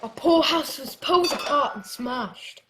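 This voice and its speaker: A woman's voice: sits high, lively intonation, measured pace, noisy background. A woman playing an earnest, anxious young boy who narrates and acts in a radio play. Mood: tense narrating. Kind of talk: radio play storytelling and acting.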